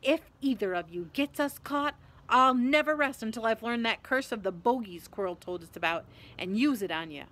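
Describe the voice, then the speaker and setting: A woman's voice: mid-range voice, fairly quick, few pauses, fairly bright tone, lightly acted. A woman voicing a hot-tempered, grumpy schoolboy in a storybook, blunt and irritated. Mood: threatening. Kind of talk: audiobook-style character voice.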